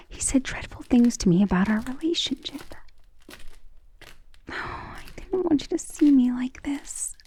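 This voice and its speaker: A woman voicing an ancient, tender and protective vampire lover in an intimate, breathy romantic ASMR roleplay, with genteel wording and a vulnerable air. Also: fairly high pitch, mellow, heavily acted. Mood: tearful, hurt. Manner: intimate, breathy half-whispers with sighs.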